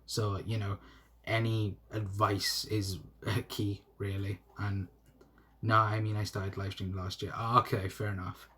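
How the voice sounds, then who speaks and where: Rambling young South Welsh man, husky. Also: lowish voice, flat delivery, bright, forceful tone. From South Wales. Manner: rambling, halting with restarts and laughs. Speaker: football fan live streamer. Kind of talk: casual live stream chat reading comments. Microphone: phone mic.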